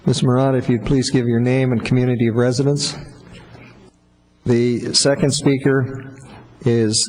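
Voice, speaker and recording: A man chairing a council meeting, formal and procedural, a little halting as he calls speakers. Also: mid-range voice, flat delivery, a little mellow. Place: council chamber. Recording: long hall reverb.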